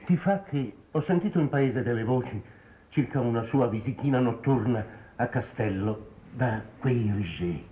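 An actor playing an obsequious, nosy gentleman in a 1950s Italian television period drama, speaking with ceremonious, insinuating courtesy. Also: medium pitch, lively intonation, moderate pace, slight background noise, close to an everyday voice. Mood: fawning. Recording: dull, roomy 1950s TV soundtrack.

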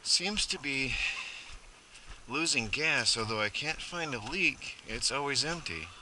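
A man's voice: mid-range voice, expressive, unhurried, background noise. Chatty man filming his own chores. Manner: rambling, chatty, talks to his cats. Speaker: homesteading vlogger. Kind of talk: casual first-person narration while working. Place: outdoors on a rural homestead. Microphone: head-mounted action camera.

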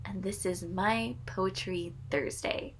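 A woman's voice: mid-range voice, fairly even intonation, slow pace, over loud music. A woman in her thirties with a Midwestern accent, chatting to her webcam as a channel host at home and reading a poem aloud with care. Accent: American Midwestern. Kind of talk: casual vlog with a poetry reading. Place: home room with bookshelves, indoors.

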